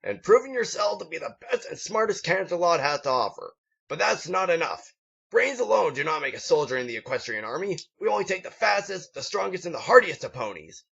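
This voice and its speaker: A man voicing a gruff, patriotic pony drill instructor who barks military speeches in a harsh voice. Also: on the high side, very animated, brisk, voice pushed up, exaggerated voice. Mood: stern, rousing. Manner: loud, declamatory military speechifying. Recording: dull lo-fi home recording.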